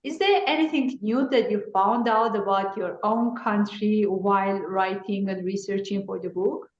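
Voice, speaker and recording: A woman interviewer asking slow, measured questions. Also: medium pitch, roomy sound, highs cut off.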